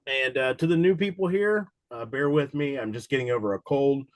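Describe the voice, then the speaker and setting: A man with a slightly raspy voice from a cold, running a casual live online study session for an insurance exam and talking in a loose, rambling way with many fillers. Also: medium pitch, a little slow, dry recording. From Cincinnati, USA.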